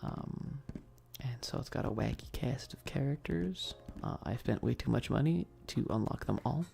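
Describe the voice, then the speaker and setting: A man chatting casually on an ASMR game stream in a soft voice that often slips toward a whisper, laid-back and sweary with slangy, hesitant enthusiasm. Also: mid-range voice, lively intonation, normal speed, gravelly. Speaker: streamer hosting a live stream. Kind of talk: casual rambling ASMR stream chat about games.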